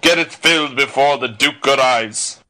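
An actor playing a blustering, swaggering bully who barks imperious, insulting orders in a dramatised audiobook. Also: male voice, medium pitch, moderate pace, sharp tone, close to an everyday voice. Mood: bullying, commanding.

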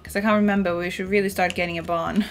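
A woman's voice: fairly low pitch, level tone, fast. A young woman from Norway with a Norwegian accent, chatting casually with viewers while playing a farming game at home, full of "like" fillers and the odd swear. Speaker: streamer. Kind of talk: casual chat while gaming. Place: home room, indoors. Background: soft game music.

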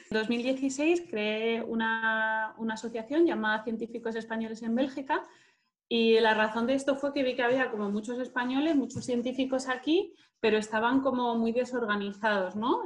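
A woman's voice: on the high side, steady tone, normal speed, faint music behind. Woman with a Spain Spanish accent. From Madrid, Spain. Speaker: interviewee, market manager and scientist. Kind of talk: conversational interview answers. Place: indoors, plain-walled room, video call. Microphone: earphone headset on video call.

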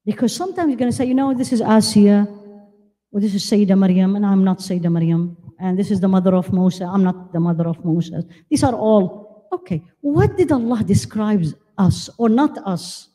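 Older woman preacher, mellow voice in a hall. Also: medium pitch, normal speed. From Iraq. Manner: animated, gesturing, asks the audience questions. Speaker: Islamic lecturer. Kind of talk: religious lecture to a women's conference. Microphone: handheld mic. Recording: long hall reverb.

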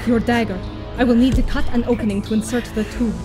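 A woman playing a brisk, brave and scornful professor in a space adventure radio play, articulate and commanding. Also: on the high side, fairly even intonation, natural voice. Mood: urgent, firm. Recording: music under the voice.

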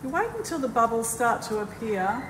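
A woman's voice: a little high, animated, a little fast, noisy background. An older woman teaching a cooking demonstration in a school kitchen, cheerfully explaining each step. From Australia. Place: large school kitchen, indoors. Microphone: camera at a distance. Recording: echoey kitchen from far off.

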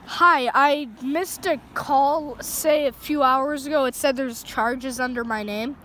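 High-voiced woman prank-calling over noisy line. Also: slow, fairly bright tone. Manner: playing dumb, then mocking. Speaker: prank caller baiting a scammer. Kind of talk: prank phone call. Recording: noisy phone call.

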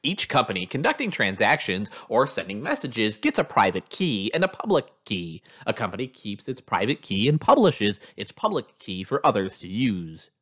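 Man narrating a lesson, very animated pitch. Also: moderate pace, few pauses, slightly rough. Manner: explanatory, reading from a script. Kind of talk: scripted instructional narration.

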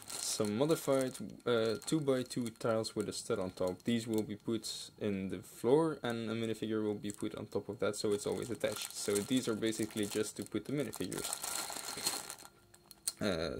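A man giving casual, hesitant unboxing commentary as a hobby builder, with offhand trailing asides. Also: lowish voice. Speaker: hobby builder and video host. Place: indoors at a wooden table. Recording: faint noise, crinkling packaging. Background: clicks and crinkling plastic bags.

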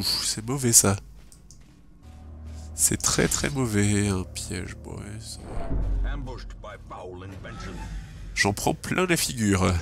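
A man narrates his game run in a relaxed, thinking-aloud way, full of fillers and stray English gaming terms. His voice is low and gravelly, a little stuffed up from a cold. Game music plays clearly underneath. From French-speaking. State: has a cold. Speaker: let's play gamer. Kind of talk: casual gameplay commentary.

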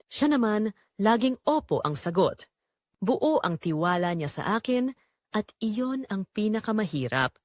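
A dry, close recording carries a woman acting as a poor, devoted mother in an audio drama. Her medium-pitched voice moves expressively up and down. She narrates in measured, earnest phrases, confiding like someone weighed down by guilt. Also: big pitch swings, no echo. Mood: earnest, burdened. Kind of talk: scripted dramatic first-person narration.

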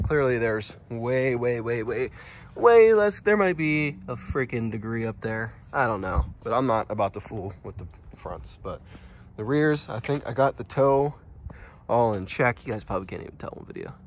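A young man with a congested, stuffy voice talks casually into the phone he is filming with. He stands outside on a quiet street with faint traffic noise behind him, rambling and thinking aloud with plenty of fillers. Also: medium pitch. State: stuffed-up nose. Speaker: car vlogger. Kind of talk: casual hands-on car vlog. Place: outdoors on a residential street and at a gas station. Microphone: handheld phone camera.

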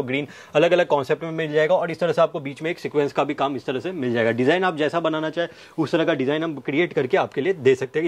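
Rapid-fire salesman, slightly high voice. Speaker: fabric manufacturer's representative presenting stock. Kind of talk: sales pitch to camera. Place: fabric showroom indoors. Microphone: clip-on wireless lapel mic.

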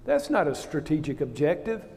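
A man's voice: a little high, very animated, moderate pace, vocal fry, a little mellow. An older man, a retired naval officer on a panel, giving blunt, plain-spoken opinions on stage. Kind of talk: candid panel answer. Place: large auditorium stage. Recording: long hall reverb.

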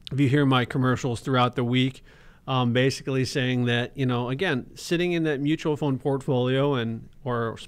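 A man in his thirties, a financial advisor hosting a radio talk show from a small studio, talking emphatically with jokes. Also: mid-range voice. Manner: emphatic and joking. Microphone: studio boom mic with headphones.

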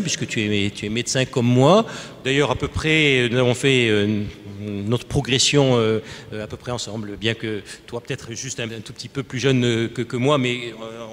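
A man's voice: mid-range voice, a little hiss or hum. An older man moderating a conference panel, formal and wordy, often hesitating and repeating himself. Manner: formal, wordy, many fillers and restarts. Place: conference stage. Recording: reverberant hall.

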